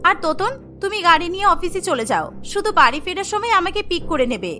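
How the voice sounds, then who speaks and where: A haughty young working wife from a cartoon, played by a woman, irritable and defiant. Her voice is extremely high and bright, with a scolding edge, over faint music. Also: big pitch swings, brisk, full character voice. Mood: brisk. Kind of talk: Bengali cartoon voice acting.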